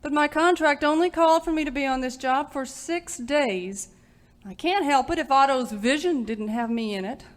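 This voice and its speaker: A woman playing a breezy, glamorous actress in a parody radio serial, coy and a little halting. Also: sits high, lightly acted. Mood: breezy, leaving. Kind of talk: parody old-time radio serial acting.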